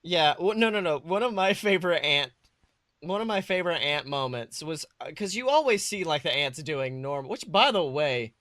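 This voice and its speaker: A man co-hosting a podcast in a casual, comedic style, animated and crude, swearing freely. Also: fairly high pitch, moderate pace, dry recording, clean studio sound. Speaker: podcast co-host.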